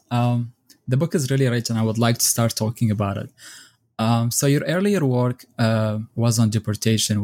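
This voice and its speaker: A man hosting an academic book podcast, reading formal introductions and asking measured questions. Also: fairly low pitch, a little mellow. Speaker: podcast host.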